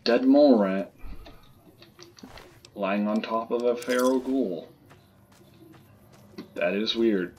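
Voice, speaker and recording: A man giving casual, low-key let's play commentary, muttering in short phrases. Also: slow pace, pauses often, darkish tone, slight background noise. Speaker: gaming video host.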